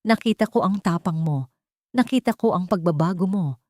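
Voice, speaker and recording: A woman voicing a calm, quiet young man in an audiobook romance, in her own low voice. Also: normal speed, no room sound, studio quality, close to an everyday voice. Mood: earnest.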